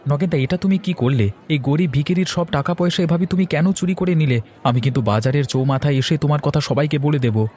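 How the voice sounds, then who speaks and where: A man's voice: on the high side, fairly quick, hardly any pauses, warm tone, lightly acted. A man playing a righteous, stubborn young villager in a cartoon folk tale, speaking earnestly and emphatically. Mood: accusing. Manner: accusing, emphatic.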